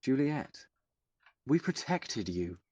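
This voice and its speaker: A man's voice: medium pitch, very animated, slow pace, a little mellow, slightly rough, dry recording, full character voice. Man playing a protective father, muffled and swooping. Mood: pleading, coaxing. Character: protective, coaxing father of an eighteen-year-old girl. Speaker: actor in audio drama. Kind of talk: audio drama acting.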